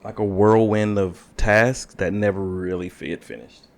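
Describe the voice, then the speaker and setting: A man's voice: lowish voice, steady tone, moderate pace. A young adult man co-hosting a podcast, talking in a laid-back, rambling way with dry humor. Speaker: podcast co-host. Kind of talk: casual chat.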